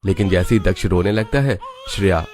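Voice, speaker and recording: A man's voice: sits low, a little fast, a little mellow. A man narrating a scripted family story for a cartoon.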